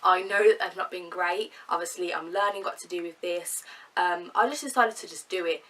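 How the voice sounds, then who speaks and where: A woman's voice: lowish voice, fairly quick, a bit bright, far from the mic. A young woman with a cold and a nasal voice, talking earnestly to camera in a chatty vlog. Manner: chatty, earnest, with fillers and laughs. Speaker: vlogger. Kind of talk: casual talk to camera.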